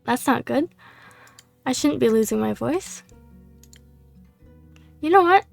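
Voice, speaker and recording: Slow, gravelly woman gaming host. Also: high voice, expressive, no echo, faint music behind. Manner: hesitant, filler-heavy, self-correcting. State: losing her voice. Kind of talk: casual game playthrough commentary.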